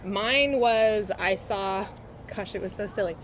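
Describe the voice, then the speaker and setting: A woman's voice: medium pitch, measured pace, a crowd in the background. A woman, a roller derby skater, chatting in an interview with lots of fillers and giggles. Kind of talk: casual interview answers.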